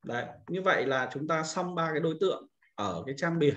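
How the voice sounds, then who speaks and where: A man's voice: a little high, lively intonation, fast, a bit bright, dull audio. A man giving an informal screen-recorded tutorial as an instructor, explaining things step by step and often repeating himself.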